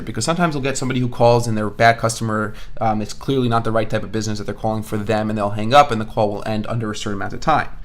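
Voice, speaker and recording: Man walking through a software tutorial. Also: mid-range voice. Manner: conversational, thinking aloud as they demonstrate. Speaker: online marketing instructor. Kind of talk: screen-share software tutorial, explaining step by step. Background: voice-over on a screen recording.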